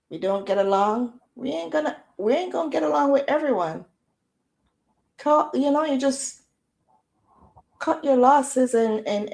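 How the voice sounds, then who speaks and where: A Jamaican woman hosting a casual livestream chat in a loud, projecting voice, firing off rhetorical questions with easygoing slang. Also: a little high, vocal fry. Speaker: livestream host.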